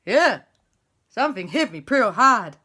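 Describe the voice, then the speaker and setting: A woman's voice: fairly high pitch, very animated, unhurried, bright, forceful tone, slightly rough, voice pushed up, full character voice. El Fuego, a woman doing a dazed ghost skeleton. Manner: dazed, whiny, repetitive. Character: silly cheerful male ghost skeleton toy.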